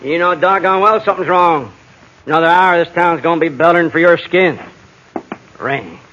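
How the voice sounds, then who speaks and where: A man acting an irritable, blustering small-town sheriff with a gruff, blunt rural western American way of talking in an old radio western. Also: a little high, moderate pace, bright, forceful tone, muffled recording. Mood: stern, exasperated. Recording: hissy old radio recording.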